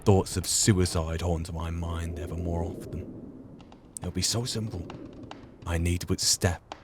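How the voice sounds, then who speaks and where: A man's voice: low-pitched, flat delivery, measured pace. A man voicing a lonely, tormented old professor, reading a journal aloud in a formal, theatrical way. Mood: grief, despair. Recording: faint background noise.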